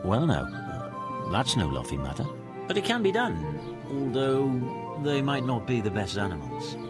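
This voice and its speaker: An actor playing a frank, shrewd, folksy medieval livestock dealer in a video game. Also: male voice, medium pitch, huge pitch swings, measured pace, over loud music. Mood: frank haggling. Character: male medieval livestock supplier, frank, shrewd village tradesman. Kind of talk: video game cutscene acting.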